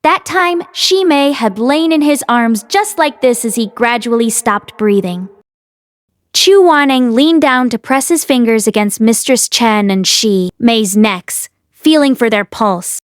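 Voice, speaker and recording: A woman reading a fantasy novel aloud as an expressive audiobook narrator. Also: on the high side, a little slow, no room sound, studio quality. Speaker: audiobook reader.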